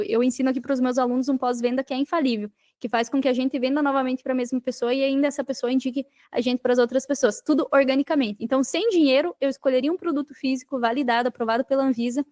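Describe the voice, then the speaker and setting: A woman with a Brazilian accent and a high-pitched voice talks as a guest coach in a casual interview. She explains step by step in informal language, repeating phrases for emphasis. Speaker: podcast guest, digital marketing coach.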